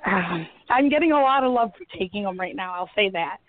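A woman's voice: mid-range voice, lively intonation, a little fast. A woman from the Chicago area calling in, chatting about a family road trip in an easygoing, rambling way. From Illinois, Chicago area. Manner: easygoing, self-deprecating, rambling. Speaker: caller, parent. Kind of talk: casual chat. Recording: tinny, dry phone line.

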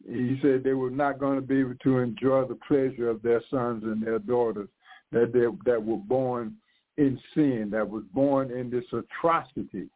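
Congested older pastor on a phone-like line. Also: male voice, mid-range voice. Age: 60s. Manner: fervent, repeats and restarts phrases. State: congested from flu. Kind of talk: live radio sermon. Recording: narrow phone-like radio sound.

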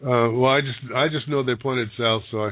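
A man working as a psychic medium who gives halting, searching readings to callers on a live call-in radio show, with many restarts. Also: mid-range voice, steady tone, slight background noise. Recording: dry, narrow phone-like broadcast sound.

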